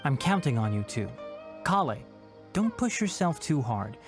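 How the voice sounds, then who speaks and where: Video game role: composed young male mentor played by a man, measured and caring, expressive pitch, music underneath. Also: natural voice. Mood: reassuring, concerned. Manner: measured, thoughtful, explanatory. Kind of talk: scripted video game dialogue. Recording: music behind the voice.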